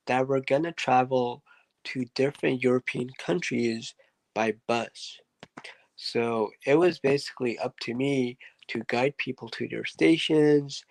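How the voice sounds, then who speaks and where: A man speaks at an unhurried pace, his voice carrying a rough, gravelly edge. He tells his story as a podcast guest, rambling through fillers and asides. The sound is dry, with no room echo. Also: medium pitch. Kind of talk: casual interview storytelling.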